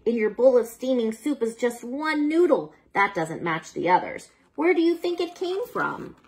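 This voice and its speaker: Woman reading a picture book at bedtime, kinda husky voice, takes her time, expressive for little kids. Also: fairly high pitch. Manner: unhurried, expressive storytelling addressed to a child listener. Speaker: children's storyteller reading picture books aloud. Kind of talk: bedtime story read-aloud.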